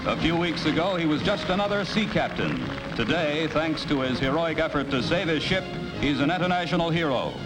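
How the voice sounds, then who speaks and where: High-pitched man, 1950s newsreel narrator. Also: expressive, brisk, few pauses, poor audio. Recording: vintage newsreel soundtrack, loud music.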